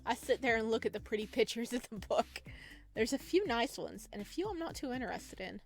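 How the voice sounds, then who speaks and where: A woman reading a visual novel aloud as a let's play host, dropping chatty, expressive reactions between the narration. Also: a little high, fairly quick, faint music behind.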